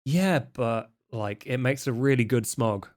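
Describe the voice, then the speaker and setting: An awkward, guarded young vampire dealer played by a man in a tabletop roleplay. He talks slowly and casually, with halting, stammered restarts. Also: medium pitch, darkish tone, lightly acted. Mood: sheepish. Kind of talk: improvised in-character roleplay dialogue.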